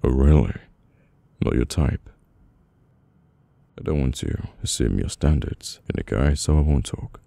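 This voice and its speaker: A man with a British accent playing a brooding, guarded mafia boss in an intimate, halting, murmured ASMR roleplay. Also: a bass voice, lively intonation, creaky, warm tone, dry recording, exaggerated voice. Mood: awkward, caring.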